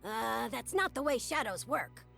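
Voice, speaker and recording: A woman's voice: on the high side, lively intonation, a little slow, gravelly, over music. A woman voicing a small, ancient male yordle ninja master in a video game, spirited and wry, with clipped, teacherly, playful pronouncements. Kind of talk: video game character voice lines.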